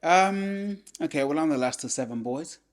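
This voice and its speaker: A man, a podcast guest telling his life story in a relaxed way, filled with "you know". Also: a little high, moderate pace. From England. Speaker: podcast guest, former boxer. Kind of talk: casual life-story interview.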